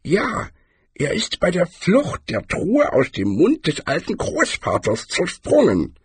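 A spry old barbarian hero from a storybook. The voice is high, creaky and badly husky, swinging wildly in melody. He drawls with a calm, condescending kindliness. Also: no room sound, full character voice. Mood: matter-of-fact. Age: older. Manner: slow, drawn-out, condescendingly kindly.

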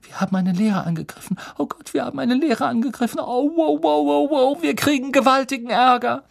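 Casting: man as anxious storybook schoolgirl, much raised pitch, breathless, fretting. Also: fairly quick, creaky, no room sound, clean studio sound. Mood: panicked. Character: anxious, high-voiced teenage schoolgirl.

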